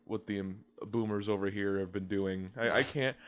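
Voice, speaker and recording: A man's voice: lowish voice, fairly even intonation, normal speed. A man in his twenties hosting a casual podcast, rambling and hesitant with many restarts as he asks his questions. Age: 20s. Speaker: podcast host.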